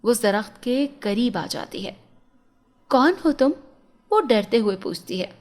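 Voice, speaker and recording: A woman's voice: mid-range voice, normal speed, darkish tone. A woman storyteller reading a scripted fairy tale for children as a narrator.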